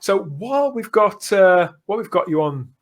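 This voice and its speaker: A man's voice: sits high, sing-song, moderate pace. Effusive middle-aged man hosting a podcast. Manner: effusive, emphatic, discursive. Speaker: podcast host. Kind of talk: casual enthusiastic fan discussion.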